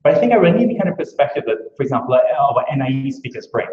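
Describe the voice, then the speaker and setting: A man's voice: medium pitch, very animated, rapid-fire. A man from Trinidad and Tobago with a Trinidadian accent, giving an earnest conference talk full of rhetorical questions. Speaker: academic speaker. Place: lecture room. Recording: echoey, dull room recording.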